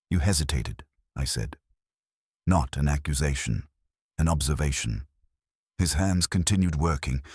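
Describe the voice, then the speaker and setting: Man, scripted story narration, solemn, clipped phrasing, husky tone, very dry close sound. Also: very deep voice, dry recording. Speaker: narrator of science fiction lore stories. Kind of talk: scripted dramatic first-person story narration.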